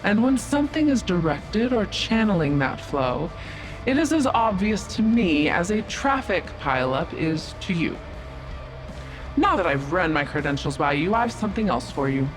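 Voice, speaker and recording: A woman playing a proud, cunning otherworldly female stranger in an audio drama, speaking in a measured, formal, dryly sarcastic manner. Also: fairly low pitch, big pitch swings. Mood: assured. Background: prominent music.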